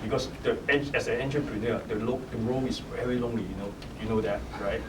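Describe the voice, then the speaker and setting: A man from Hong Kong with a Hong Kong accent, a startup founder answering audience questions in halting, restart-filled English. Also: medium pitch, steady tone. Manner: halting, many restarts and "you know". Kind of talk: panel Q&A answer. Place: event hall. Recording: echoey hall, distant mic, noisy.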